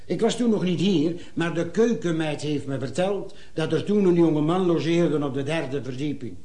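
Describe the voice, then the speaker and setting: A man acting a deferential night porter in a radio drama, his voice fairly high and set in a roomy acoustic. He speaks, hesitant and apologetic, always eager to excuse himself. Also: moderate pace, dark tone, natural voice. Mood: confiding, apologetic. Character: timid, deferential male hotel night porter. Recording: roomy radio-play studio sound.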